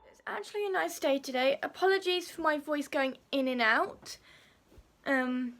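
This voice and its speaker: A woman talks to her viewers in a loose, chatty vlog, circling back and repeating her points. Her voice sits high and has gone croaky from a sore throat. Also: moderate pace, fairly bright tone. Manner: chatty, rambling, repeats herself. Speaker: vlogger. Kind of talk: casual vlog chat.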